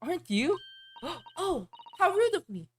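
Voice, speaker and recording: A woman putting on a squeaky, perky little girl. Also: sing-song, unhurried, soft background music, exaggerated voice. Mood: chirpy. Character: excitable young girl, perky and polite. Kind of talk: reading game dialogue aloud on stream.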